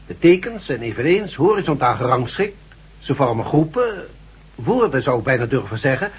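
A man's voice: medium pitch, sing-song, husky. An actor playing a cautious professor who weighs his words carefully in a radio drama. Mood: thoughtful. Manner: measured, hedging. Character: Professor Van Kleef, cautious scholar of scripts. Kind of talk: 1970s radio drama acting. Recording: dull lo-fi radio recording.

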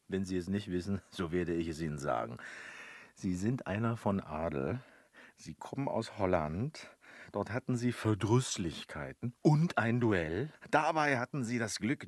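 An actor playing a boastful, cheeky manservant and gleeful liar in a classical German radio comedy, teasing with mock solemnity and theatrical flourish. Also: male voice, fairly low pitch, no echo, clean studio sound, heavily acted.

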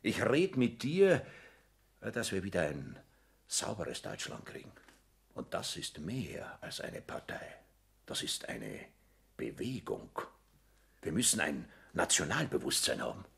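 A man acting a fervent, combative nationalist agitator with a gravelly voice in a 1960s German radio play. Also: sits low, wildly swooping pitch, measured pace, a bit bright, heavily acted. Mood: preachy, urgent.

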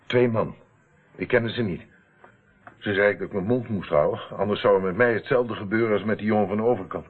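Lage, hese bange getuige. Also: male voice, on the low side, a little fast, slightly rough. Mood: uneasy, recounting. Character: intimidated male murder witness, hesitant and submissive. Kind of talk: radio play acting. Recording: muffled narrowband old radio play recording.

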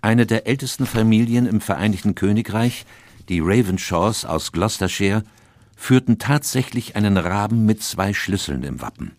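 Man as a deep-voiced, earnest Victorian companion. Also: animated, a little mellow, lightly acted. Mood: grave, narrating. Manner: measured, earnest. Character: loyal, earnest Victorian gentleman narrator, detective's companion. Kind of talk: scripted radio drama narration and dialogue. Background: music.

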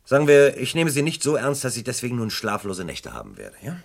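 An actor playing a middle-aged senior police officer, wry and unruffled, with a gravelly, husky voice, in a German radio crime drama. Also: male voice, mid-range voice, huge pitch swings, a little fast, slight background noise. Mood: wry, dismissive. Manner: dry, dismissive, sardonic.

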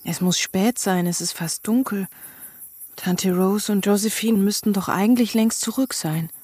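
A woman's voice: medium pitch, moderate pace, darkish tone, slightly rough, no room sound, clean studio sound, lightly acted. An actress playing a subdued, anxious young woman in a radio drama. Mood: subdued worry.

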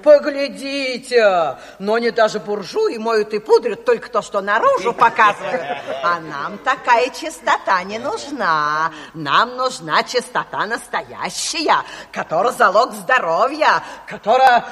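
A woman's voice: fairly high pitch, very animated, unhurried, fairly bright tone. An actress playing an earthy, jolly peasant woman agitating for the communists in folksy speech, in a radio drama. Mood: jolly, mocking. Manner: folksy, colloquial oratory. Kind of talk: Soviet radio play acting. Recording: hall-like long reverb on 1970s radio play.

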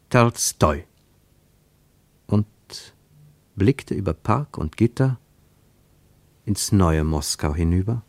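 A man narrating a radio drama in a measured, expressive literary storytelling manner. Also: lowish voice, no room sound.

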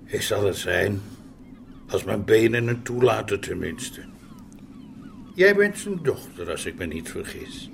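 An actor playing a frail, pious, kindly old village man in a radio drama, speaking in a slow, solemn, reflective way. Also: lowish voice, very animated, creaky, a little hiss or hum, heavily acted. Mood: courteous, inquiring. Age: older. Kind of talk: Dutch radio drama acting.

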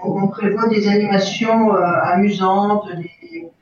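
A woman being interviewed in casual conversation, talking haltingly and searching for her words as an event organiser. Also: medium pitch, level tone, crowd noise. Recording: echoey, dull lo-fi room recording.

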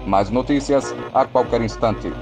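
Guy playing serious newsreader doing an urgent formal bulletin, normal pitch, music under it. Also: measured pace, natural voice. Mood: urgent, solemn. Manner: formal, announcing. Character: solemn radio newsreader issuing urgent warning. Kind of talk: dramatized news bulletin.

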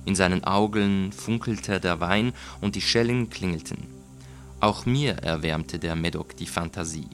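Male audiobook narrator: low voice, measured and deliberate literary storytelling, music underneath.

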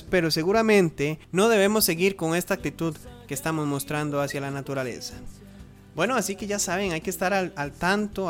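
A man hosting a radio show with informal news commentary, earnest and emphatic, in halting phrases. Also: a little high.

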